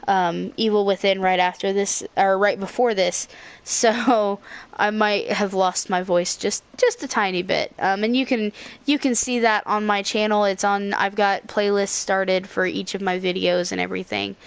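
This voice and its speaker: A woman's voice: medium pitch, fairly even intonation, normal speed, gravelly, clear, resonant tone. A young woman giving casual, rambling gameplay commentary as a let's play host. Her voice is slightly scratchy. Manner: rambling, with frequent fillers and self-corrections.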